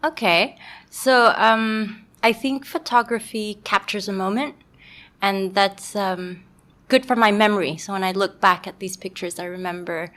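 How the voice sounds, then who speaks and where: A woman from Montreal talks as a guest on a casual podcast. She thinks as she goes, with frequent ums and small restarts, at a mid-range pitch. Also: steady tone, unhurried, fairly bright tone. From Montreal, Canada. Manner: thoughtful, hesitant, many ums. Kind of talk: casual conversation.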